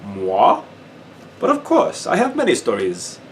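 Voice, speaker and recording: A man's voice: medium pitch, huge pitch swings, unhurried, distant mic, slight background noise, pitched above the performer's own voice. A man voicing a big, sarcastic French soldier who speaks theatrically. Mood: playful.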